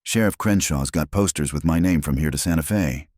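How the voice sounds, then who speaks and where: A man voicing a weary, guarded young female outlaw and mother, speaking softly. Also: rumbling low, brisk, no echo, studio quality. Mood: quiet.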